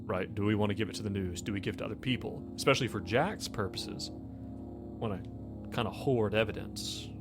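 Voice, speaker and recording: A man's voice: fairly low pitch, a little fast. A man speaking as game master in a tabletop roleplaying game, explaining things in an informal, conversational way. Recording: music playing under the voice. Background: background music.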